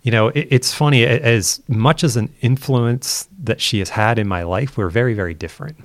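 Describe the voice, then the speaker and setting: A man's voice: medium pitch, normal speed, dry recording. A man speaking as a guest, reflective and thinking aloud, often repeating words and ending points with "right?". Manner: reflective, restarts sentences, tags statements with "right?". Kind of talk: casual conversation.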